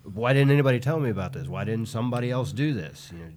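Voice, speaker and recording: A man in his early thirties with an ordinary mid-range voice. He lays out his points in a steady, explanatory way. It is casual talk among friends. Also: mid-range voice, over a noisy crowd. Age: 30s. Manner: measured, explaining. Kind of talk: casual group chat.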